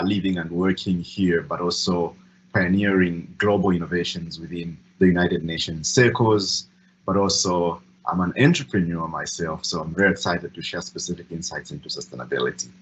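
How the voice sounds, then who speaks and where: A man's voice: low voice, fairly even intonation, fairly bright tone. A man from Zambia with a Zambian accent, introducing himself as a panelist in an enthusiastic, polished way. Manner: enthusiastic, polished, quick phrases. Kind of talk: webinar self-introduction. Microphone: video call. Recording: dull lo-fi call audio with faint room noise.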